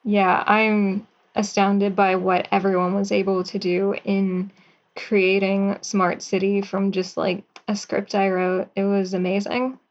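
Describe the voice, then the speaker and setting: A young woman in her twenties, a writer and actor, giving soft, thoughtful answers as an interview guest over a video call. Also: mid-range voice, flat delivery, unhurried, dull audio.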